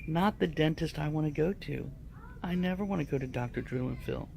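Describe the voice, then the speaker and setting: A man's voice: fairly high pitch, brisk, gravelly, darkish tone. A man giving casual, joking commentary on products in a crude, wisecracking manner. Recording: noisy background ambience.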